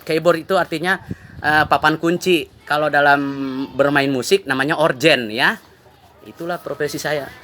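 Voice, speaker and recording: Emphatic Indonesian man, fairly high voice. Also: fairly bright tone, slight background noise. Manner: exhorting, emphatic, repeats himself. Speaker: musician and online vlogger. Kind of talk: informal opinion monologue to camera.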